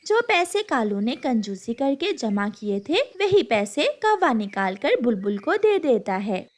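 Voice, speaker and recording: A woman's voice: fairly high pitch, sing-song, a little slow. A woman giving scripted narration for a cartoon moral story.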